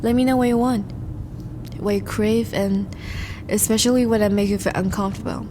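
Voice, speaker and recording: A woman playing a charming, courtly vampire suitor in a romantic audio roleplay, speaking formally and gently to the listener. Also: medium pitch, fairly even intonation, moderate pace, noisy background, lightly acted. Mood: earnest, reassuring. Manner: formal, courtly, gentle phrasing with soft sighs.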